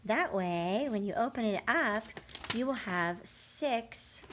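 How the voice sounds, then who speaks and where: A woman teaching young children in a read-aloud lesson, asking questions, pausing and drawing out key words for emphasis. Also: a little high, expressive, a bit bright, a little hiss or hum. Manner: prompts with questions, pauses, affirms answers, drawn-out emphasis on key words. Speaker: early literacy teacher.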